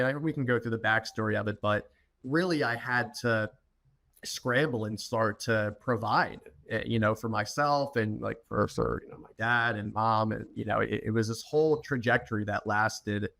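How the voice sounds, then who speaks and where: A man's voice: medium pitch, creaky, sharp tone. Informal young man telling a personal story. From United States, Philadelphia. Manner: informal, many restarts and repeated words. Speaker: podcast guest, entrepreneur. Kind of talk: personal storytelling in a podcast interview.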